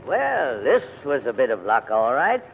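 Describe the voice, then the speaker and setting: A man acting an elderly, flustered English gentleman in 1940s radio drama, with a fussy, formal, sometimes stammering delivery. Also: medium pitch, wildly swooping pitch, measured pace, vocal fry, breathy edge, soft background music, heavily acted. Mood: narrating, composed. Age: older. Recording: tinny, noisy old 1940s radio recording.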